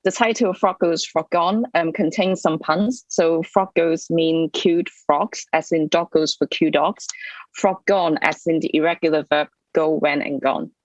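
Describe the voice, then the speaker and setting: A young woman from Hong Kong with a Hong Kong English accent, reading her poems softly and carefully at an online event. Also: low voice, steady tone, no room sound, lo-fi sound. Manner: soft-spoken, measured, with frequent ums. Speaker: poet reading her work. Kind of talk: poetry reading with spoken introductions. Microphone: computer mic on a video call.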